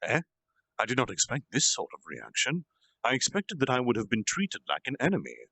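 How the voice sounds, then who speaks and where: A man voicing a gentle, earnest slime monster leader who was once human, speaking in a solemn, halting confessional way for a storytime reading. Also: medium pitch, huge pitch swings, creaky, no echo, full character voice. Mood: surprised, unsure.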